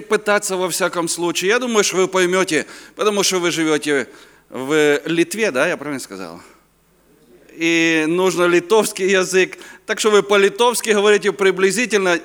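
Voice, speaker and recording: A man's voice: high-pitched, a bit bright. A man in his fifties from Ukraine, a native Ukrainian speaker with a Ukrainian accent, giving a chatty, joking sermon as a guest preacher at a youth conference, sometimes searching for words. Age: 50s. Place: conference hall. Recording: reverberant hall.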